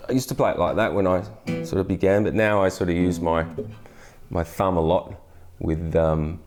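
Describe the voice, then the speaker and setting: Male guitar teacher, very low voice, loose chatty delivery, soft guitar behind. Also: normal speed. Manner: relaxed, chatty, many restarts and fillers. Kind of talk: casual guitar tutorial. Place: indoor studio, brick wall backdrop. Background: acoustic guitar playing.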